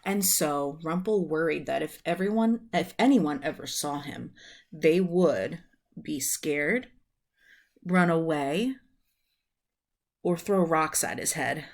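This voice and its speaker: Female storyteller, thirties, lowish voice, very unhurried children's read-aloud, recorded at home on a webcam. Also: animated. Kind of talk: reading a children's book aloud. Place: small room at home, indoors.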